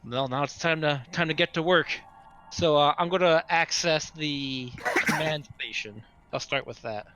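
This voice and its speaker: A man's voice: a little high, unhurried, a bit bright, dull audio, a little hiss or hum. A man chatting casually as a tabletop game player, tentatively thinking aloud about his next move.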